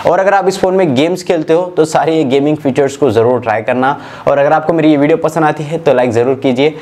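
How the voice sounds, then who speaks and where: A man's voice: a little high, fast talker, darkish tone. A man in his twenties with an Indian accent, presenting a tech walkthrough step by step and mixing in English technical terms. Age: 20s. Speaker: tech reviewer and channel host. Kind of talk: scripted-sounding phone feature walkthrough.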